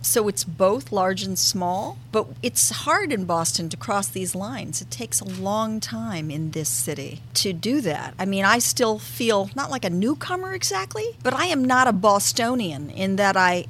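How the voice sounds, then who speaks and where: Animated woman telling stories. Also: medium pitch, a little slow, few pauses, a little hiss or hum. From Connecticut, US. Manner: animated, laughing storyteller. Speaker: guest, arts leader. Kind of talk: casual conversation. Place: restaurant.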